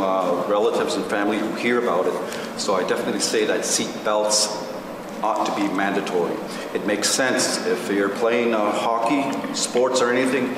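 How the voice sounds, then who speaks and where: Halting lowish-voiced man in echoing hall. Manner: deliberate, halting, measured phrasing. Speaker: meeting participant. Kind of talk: giving an opinion at a community meeting. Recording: distant mic in noisy echoey hall.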